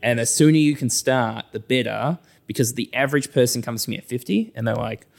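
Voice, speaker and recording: A man's voice: mid-range voice, fairly quick, vocal fry. Kiwi guy, financial adviser chatting in an interview, explains stuff by acting out what clients would say. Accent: New Zealand.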